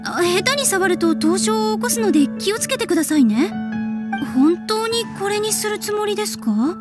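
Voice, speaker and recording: A woman's voice: very high voice, lively intonation, normal speed, loud music behind the voice. A woman voicing a polite, earnest young shopkeeper in a fantasy video game, with courteous customer-service speech. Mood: helpful. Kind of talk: Japanese video game voice acting.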